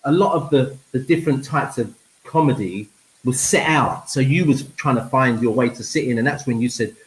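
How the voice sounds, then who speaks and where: A man in his fifties, a comedian guest, telling stories in an animated way, laughing often and repeating words. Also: mid-range voice, normal speed, low-quality recording. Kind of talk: casual chat, animated storytelling.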